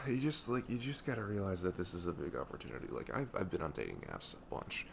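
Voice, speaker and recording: Man acting as caring young male best friend, low voice, expressive swings in pitch, soft and earnest, ASMR roleplay, noisy recording. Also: fairly quick, full character voice. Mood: sympathetic, candid. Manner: soft-spoken, often breathy, hesitant, earnest. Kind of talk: ASMR roleplay acting, intimate casual talk.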